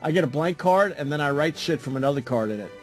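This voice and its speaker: A man on a radio show, excitedly boasting about a clever trick. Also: a little high, fast talker, faint music behind. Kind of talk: radio banter.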